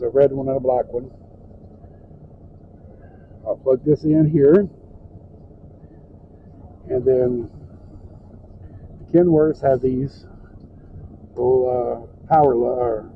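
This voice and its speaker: A man's voice: medium pitch, gravelly. A plain-spoken man with folksy phrasing gives an informal, step-by-step hands-on tutorial from inside a truck cab. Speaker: truck driver and trainer giving a tutorial. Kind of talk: informal hands-on how-to narration. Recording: tinny phone-like audio with background noise.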